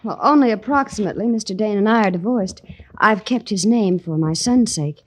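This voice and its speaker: A woman's voice: mid-range voice, brisk, natural voice, low-quality recording. Actress as genteel, bitter divorced woman. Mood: gracious. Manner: mannered, polite with bitter edge. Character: bitter, genteel divorced mother, coolly polite. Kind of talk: 1940s-50s radio drama acting.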